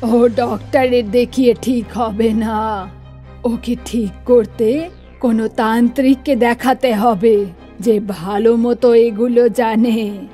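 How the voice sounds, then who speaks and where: A woman playing a concerned old grandmother who believes in spirits, in animated horror story dialogue. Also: on the high side, slow, faint music behind. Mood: worried, grave. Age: older.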